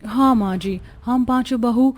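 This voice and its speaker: An obedient young bride in a cartoon family story, played by a woman. Her voice is on the high side. She talks earnestly and respectfully, eager to please. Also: a little slow, a little mellow, soft background music. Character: eager, obedient young daughter-in-law, newly married.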